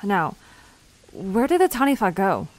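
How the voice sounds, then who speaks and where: A woman's voice: mid-range voice, sing-song, measured pace, slight background noise, low-quality recording. A woman acting an anxious, pleading young woman who leads her friends in an audio drama. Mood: urgent, organizing.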